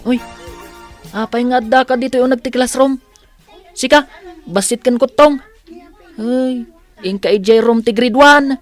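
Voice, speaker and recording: High-voiced jeering schoolkid bully, played by a woman, slow taunting delivery, radio drama. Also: soft background music, natural voice.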